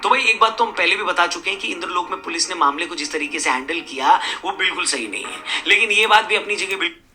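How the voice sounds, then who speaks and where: A man's voice: sits high, quick pace, bright, forceful tone, soft background music. A man presenting a scripted news explainer in an emphatic, argumentative way. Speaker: video presenter.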